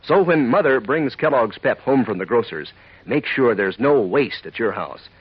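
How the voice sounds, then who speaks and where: An American man announcing a 1940s radio commercial, pitching chummily and emphatically to children. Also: expressive, moderate pace, husky, dry recording. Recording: muffled old radio recording, music.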